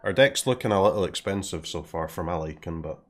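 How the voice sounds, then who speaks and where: A Scottish man talking through his game as he plays, in a lowish voice. He thinks out loud, trails off into quiet mutters and keeps clearing his throat. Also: lively intonation, a little fast. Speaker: game streamer. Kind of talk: casual live commentary while playing.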